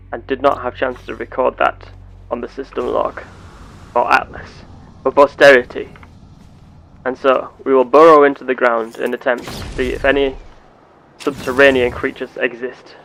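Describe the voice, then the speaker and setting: A man voicing a curious, earnest space explorer with measured, formal, theatrical phrasing, narrating a sci-fi game roleplay. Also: medium pitch, level tone, gravelly, fairly bright tone, faint music behind, low-quality recording. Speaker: gameplay host.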